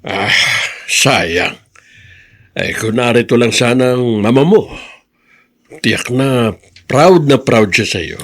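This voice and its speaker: A man's voice: medium pitch, expressive, slow, long pauses. A Filipino man acting a fond old grandfather in a radio drama, with a hesitant, folksy, tender manner. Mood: fond, proud.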